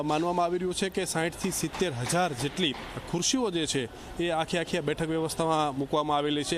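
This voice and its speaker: A man giving an improvised, wordy live report as a field correspondent outdoors. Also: a little high. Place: outdoors at an event ground. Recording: faint outdoor noise, vehicles.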